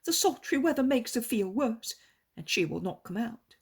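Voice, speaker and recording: A woman's voice: on the high side, big pitch swings, normal speed, husky, pitched above the performer's own voice, full character voice. A woman voicing a repentant male innkeeper who pleads and praises fervently. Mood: pleading.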